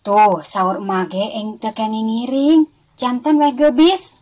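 A woman voicing a caring village mother in a children's story, practical, motherly and gently bossy as she instructs. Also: a little high, lively intonation, measured pace. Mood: firm, chiding.

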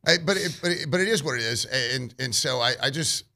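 A man's voice: mid-range voice, animated, a little fast, gravelly, sharp tone. A man co-hosting a sports radio show, talking in a heated, rambling, opinionated way.

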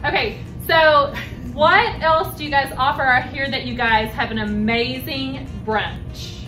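A very high-voiced American woman hosts an upbeat promotional interview. She is enthusiastic and gushing. She sounds a bit away from the mic, with clear music behind her. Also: unhurried, distant mic, rough recording. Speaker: host, realtor. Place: indoors at a restaurant bar. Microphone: camera a few feet away.

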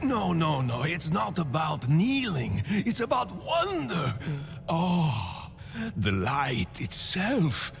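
A man's voice: a little high, wildly swooping pitch, slow pace, gravelly, music in the background, exaggerated voice. An actor playing an awestruck, half-crazed old monk in a game, theatrical and rapturous in his devotion. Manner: rapturous, swooping, wandering devotion.

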